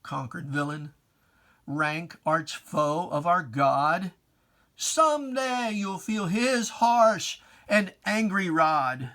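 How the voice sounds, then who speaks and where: A man's voice: high voice, very animated, takes it slowly, bright, forceful tone. A man giving a dramatic reading of religious verse in an emphatic, declamatory, theatrical manner. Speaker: poetry reader.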